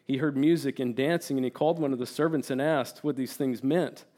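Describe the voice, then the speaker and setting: A man who grew up in Turkey and spoke Turkish first, preaching an earnest, animated sermon as a guest preacher and reading scripture aloud. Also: mid-range voice, a little fast. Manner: earnest and animated, repeats words when emphasising, reads scripture aloud.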